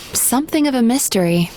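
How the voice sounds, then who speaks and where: Composed, gentle young woman therapist, acted by a woman. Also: on the high side, lively intonation, soft background music, natural voice. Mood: puzzled, resigned. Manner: measured, polite, thoughtful. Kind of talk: animated video game voice acting.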